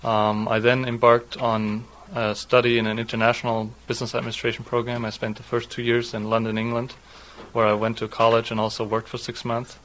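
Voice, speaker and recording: A man in his twenties from southwest Germany, a native German speaker with a German accent, speaking in a halting, explanatory way. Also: medium pitch, completely flat delivery, a bit bright, slight background noise, poor audio. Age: 20s. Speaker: interviewee, doctoral student. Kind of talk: read passage, then unscripted personal account.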